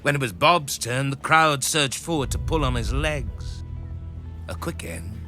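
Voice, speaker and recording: A man's voice: mid-range voice, measured pace, music in the background, natural voice. A man playing a gloating, menacing villain in an audio drama, taunting and theatrical, drawing out his words. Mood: cruel gloating. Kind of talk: musical audio drama acting.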